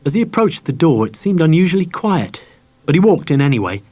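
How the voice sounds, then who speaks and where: A man's voice: a little high, big pitch swings, brisk, a little mellow. A man narrating a children's novel as a scripted audiobook.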